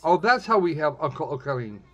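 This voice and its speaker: A man giving casual, snarky live commentary on a game, blunt and halting, often restarting his phrases. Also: a little high, sing-song, fairly bright tone. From United States. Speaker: live streamer playing a visual novel. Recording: dull, lo-fi stream audio.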